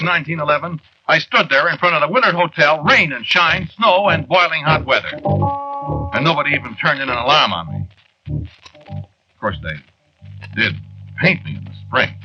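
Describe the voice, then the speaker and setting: A man's voice: on the high side, pushed and bright. An actor playing a weary, centuries-old henpecked man in a 1940s radio drama, confiding in a hesitant, wry way. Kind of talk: 1940s radio drama acting, first-person narration. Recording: dull, noisy 1940s radio recording.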